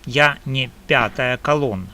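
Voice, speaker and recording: A man from Ukraine delivering a personal opinion monologue to camera, slow and emphatic in measured phrases. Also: medium pitch, bright, forceful tone. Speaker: video blogger.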